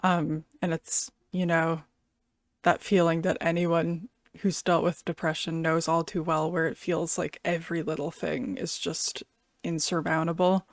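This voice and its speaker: A woman's voice: low voice, flat delivery, measured pace, no echo, studio quality. A woman giving casual music reaction commentary as a reviewer, thinking aloud in a hesitant way.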